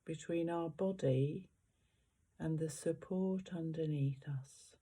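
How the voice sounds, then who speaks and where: Meditation guide: very dark, relaxed timbre, slow pace, gentle instruction with roomy pauses between phrases. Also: mid-range voice, breathy edge.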